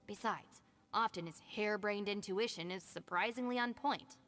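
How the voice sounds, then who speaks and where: A woman voicing a level-headed, long-suffering young female gang deputy in a video game, matter-of-fact and measured. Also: mid-range voice, a bit bright, natural voice. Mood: dry exasperation. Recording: music under the voice.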